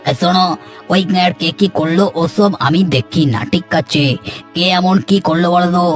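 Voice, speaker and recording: A man's voice: high voice, fairly even intonation, moderate pace, soft background music, close to an everyday voice. A man voicing an idle, carefree, argumentative village son with a grumbling, dismissive manner in a cartoon. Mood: grumbling, defiant. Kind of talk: Bengali cartoon dialogue.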